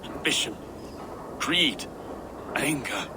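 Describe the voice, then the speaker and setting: An actor playing an earnest, devout and sorrowful city official in a video game, reflective and expressive. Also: male voice, medium pitch, slow. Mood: saddened. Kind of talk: dramatic video game acting. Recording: clear background noise, ambience.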